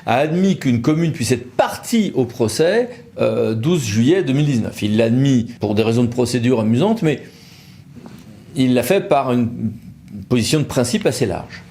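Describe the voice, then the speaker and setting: A man with a mid-pitched voice gives a conversational legal explainer. He speaks as an expert to viewers, informally, dropping wry colloquial asides into technical explanation. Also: expressive, normal speed, warm tone. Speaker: legal expert from a law firm presenting a video.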